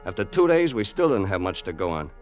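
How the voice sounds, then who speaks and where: Low-voiced hardboiled gangster sidekick. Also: male voice, very animated, fast, soft background music. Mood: matter-of-fact. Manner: colloquial, hardboiled. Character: plain-spoken, loyal, wary gangster sidekick narrating. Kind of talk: old-time radio crime drama narration and dialogue. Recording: noisy old radio recording.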